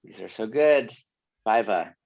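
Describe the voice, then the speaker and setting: A man's voice: lowish voice, lively intonation, gravelly, dry recording. A man hosting an online leadership session, calling on people by name with a friendly, apologetic manner. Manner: friendly, apologetic, quick asides. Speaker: host of an online workshop. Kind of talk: facilitating a video call. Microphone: video call. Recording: dull lo-fi call audio.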